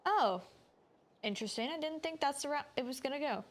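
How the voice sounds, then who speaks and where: High-voiced woman streamer. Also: expressive, brisk. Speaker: video game streamer. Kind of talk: casual gameplay commentary. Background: faint game music.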